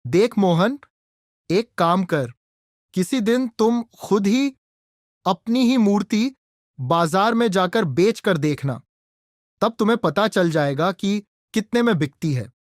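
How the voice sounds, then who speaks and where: A man's voice: sits high, lively intonation, takes it slowly, long pauses, dry recording, studio quality. A man acting as a sly, cheating landlord in a cartoon story, talking in a dismissive, persuasive way. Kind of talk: Hindi cartoon story dubbing.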